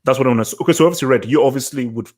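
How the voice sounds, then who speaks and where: A man with a mid-pitched voice hosts a casual live discussion. He is from Nigeria and England, and he phrases his points deliberately, often saying a phrase again for emphasis. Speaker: podcast host.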